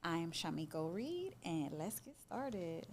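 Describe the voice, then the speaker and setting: A woman's voice: fairly low pitch, sing-song, a little slow, a little mellow, slight background noise. A woman hosting a live interview at an event, introducing and questioning her guest. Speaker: interviewer, host.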